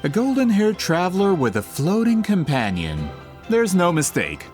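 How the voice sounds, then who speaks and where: Courteous game merchant, eager, theatrical, swooping intonation, faint music behind. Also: male voice, sits high, huge pitch swings, moderate pace. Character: polite, eager male book merchant and publisher. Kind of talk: video game voice acting.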